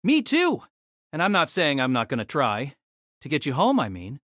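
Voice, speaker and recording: Woman as a teenage boy, gentle, honest, animated intonation, close dry sound, audio drama. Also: deep voice, normal speed, no echo, heavily acted. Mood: earnest.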